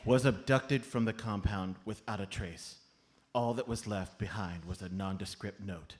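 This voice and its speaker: A man's voice: fairly low pitch, normal speed, close to an everyday voice. A man playing a tiny, solemn elf foreman with a long-winded official manner in a live comedy radio play. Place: live on stage at a comedy club.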